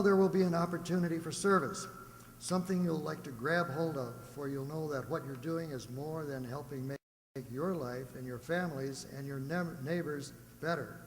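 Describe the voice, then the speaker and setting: Male announcer, old-time radio, scripted delivery, echoey hall, humming background noise. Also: on the high side. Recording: echoey hall, noisy hum.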